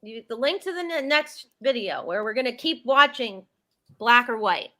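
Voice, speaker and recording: A woman co-hosting a casual live chat show, teasing and chiming in with quick remarks. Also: fairly high pitch, lively intonation, bright, forceful tone. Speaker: co-host.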